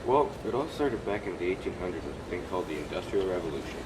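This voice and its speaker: A man's voice: deep voice, very animated, fast talker. A man playing an earnest, polite sunray in a scripted puppet show interview. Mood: earnest, explanatory. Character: earnest male sunray, trapped by greenhouse gases. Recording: noisy, echoey room, distant mic.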